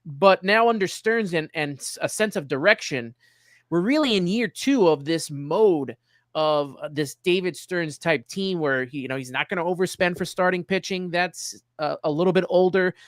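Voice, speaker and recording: A man with a somewhat high voice, recorded close and dry. He hosts sports talk in a conversational, analytical style. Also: moderate pace, a bit bright, dry recording. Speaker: sports show host.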